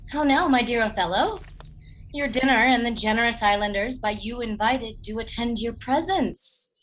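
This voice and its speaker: An actress playing a tender, solicitous young noblewoman, a gentle and attentive wife in a Shakespearean radio play. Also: on the high side, moderate pace, a little hiss or hum, natural voice, rough recording. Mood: tender concern.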